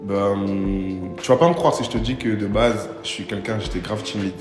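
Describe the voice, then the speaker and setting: A man's voice: lowish voice, normal speed. A man in his twenties from Paris, answering interview questions casually with slang and many hesitant fillers. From Paris, France. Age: 20s. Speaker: interviewee, video creator. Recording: echoey room with music behind.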